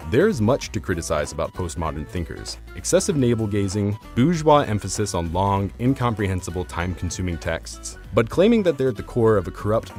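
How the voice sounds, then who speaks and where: A man narrating a scripted, argumentative video essay in an articulate, formal, academic way. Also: on the low side. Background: soft instrumental music.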